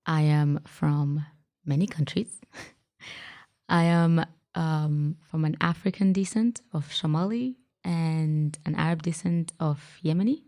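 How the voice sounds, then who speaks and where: A woman youth activist from Saudi Arabia speaks casually in a fairly low voice with audible creak. Her tone is soft-edged as she answers interview questions. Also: takes it slowly. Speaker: youth activist, podcast co-host.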